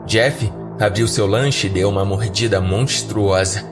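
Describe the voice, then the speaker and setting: A man's voice: fairly low pitch, fairly even intonation, a little slow. A man with a Brazilian accent narrating a scripted first-person horror story as a podcast narrator. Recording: background music under narration.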